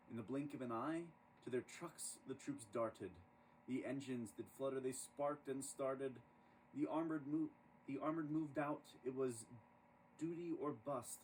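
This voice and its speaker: A man reading a rhyming children's story aloud in an expressive, dramatic storytelling manner. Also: mid-range voice, unhurried. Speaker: storybook reader. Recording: distant microphone with clear background noise.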